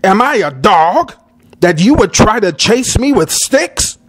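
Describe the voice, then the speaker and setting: A scornful giant warrior from a storybook, put on by a man. He taunts with angry menace. His delivery is highly animated, swinging widely in pitch. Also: measured pace, no room sound, full character voice.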